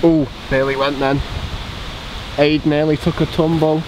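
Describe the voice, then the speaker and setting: A man's voice: fairly high pitch, level tone, a little slow. Young guy joking with mates outside, noisy camera sound. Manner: joking, teasing. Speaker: vlogger's friend. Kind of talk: casual chat. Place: outdoors in an abandoned theme park. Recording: outdoor camera audio with background noise.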